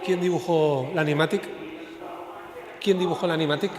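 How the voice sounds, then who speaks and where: Male event moderator, higher-pitched and quick, inquisitive interviewer style, reverberant hall sound. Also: steady tone, a crowd in the background. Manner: inquisitive, prompting and clarifying. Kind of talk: live on-stage interviewing. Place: large room at an art school event. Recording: echoey hall with long reverb.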